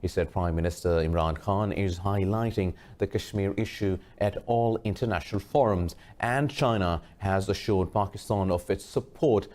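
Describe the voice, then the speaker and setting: A man reading a scripted news bulletin as anchor, formal and measured. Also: sits low, darkish tone.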